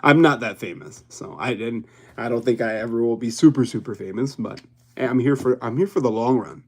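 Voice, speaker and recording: A young man from Hawaii chats loosely with his livestream viewers. He rambles from one point to the next, drifts off track and laughs easily. His voice sits at an ordinary middle pitch. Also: a little fast. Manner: rambling, chatty, laughs often. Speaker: YouTube livestream host. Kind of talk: casual livestream chat with viewers.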